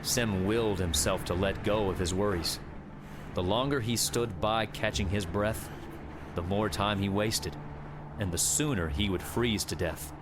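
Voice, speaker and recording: A man's voice: fairly low pitch, measured pace, over music. A man reading a scripted adventure audiobook as a dramatic narrator.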